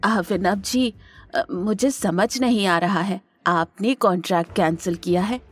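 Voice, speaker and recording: A woman's voice: medium pitch, unhurried, close to an everyday voice. A woman playing a stern, authoritative elderly matriarch and businesswoman in an audio drama, firm and insistent. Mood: insistent, pleading. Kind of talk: Hindi audio drama acting.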